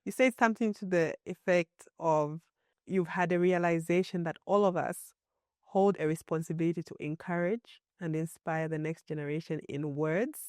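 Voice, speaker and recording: A woman's voice: fairly low pitch, normal speed, darkish tone, clean tone, no room sound, clean studio sound. A woman from Zambia hosting an interview podcast, friendly, with many short affirmations. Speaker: podcast host. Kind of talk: casual interview talk.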